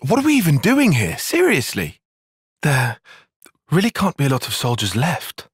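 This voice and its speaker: An earnest young strategist for a video game cutscene. His phrasing is formal and exact, with animated pitch swings and a slightly husky edge. The recording is very dry, with no room around it. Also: normal speed, no room sound, heavily acted. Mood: alarmed, indignant. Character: analytical, reserved young male military strategist, earnest and proper.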